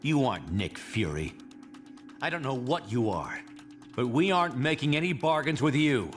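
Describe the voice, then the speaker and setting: Man acting lively, resolute soldier superhero. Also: medium pitch, normal speed, faint music behind, lightly acted. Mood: defiant. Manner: earnest, firm. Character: resolute, principled male soldier superhero leader. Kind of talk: dramatic video game cutscene acting.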